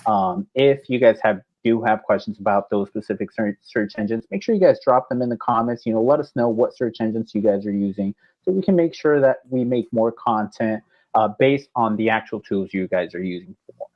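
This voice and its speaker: Man in his 20s, podcast co-host, lowish voice, dull lo-fi sound. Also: expressive, a little fast. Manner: upbeat, addresses viewers, frequent "you know". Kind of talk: casual podcast chat.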